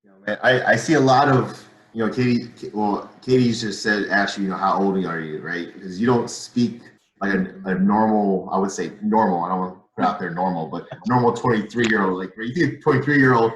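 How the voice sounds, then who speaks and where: A man's voice: on the low side, fairly quick, roomy sound, low-quality recording. A young man hosting an online interview, asking casual, rambling questions with frequent hesitations. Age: young adult. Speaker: interviewer host.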